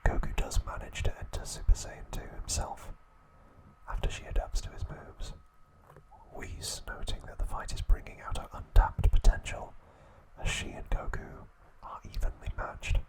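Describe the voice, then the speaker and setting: A man whispers throughout in an ASMR style, hushed and unvoiced. He narrates like a trivia host, taking his time and phrasing each piece deliberately, with pauses in between. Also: poor audio.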